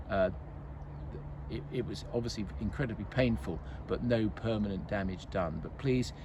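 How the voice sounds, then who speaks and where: Male golf club captain, loud and chatty, frequent restarts, recorded outdoors with faint traffic noise. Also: mid-range voice. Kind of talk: casual club update to members.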